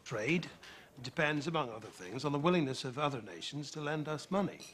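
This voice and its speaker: A man's voice: a little high, lively intonation, fairly quick, a bit bright, slight background noise. An actor playing an assured, ambitious young-republic statesman in a period film, with formal, precise and assertive delivery. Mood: assured persuasion. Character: assured, ambitious Treasury statesman of revolutionary-era America. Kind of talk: period film drama dialogue.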